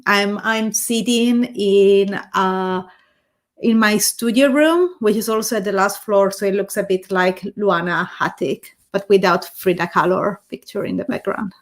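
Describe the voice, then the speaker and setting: A woman from Italy, introducing herself playfully from her studio room, correcting herself now and then. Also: mid-range voice. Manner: playful, unhurried, with self-corrections. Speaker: panelist, UX designer. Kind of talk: casual self-introduction. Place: studio room on top floor.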